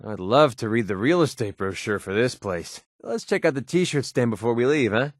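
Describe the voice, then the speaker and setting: An actor playing a sardonic young American mercenary sniper in a video game, with a casual, wisecracking delivery. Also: male voice, mid-range voice, expressive, moderate pace, no echo, studio quality, close to an everyday voice. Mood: sarcastic, joking. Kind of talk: video game companion voice lines.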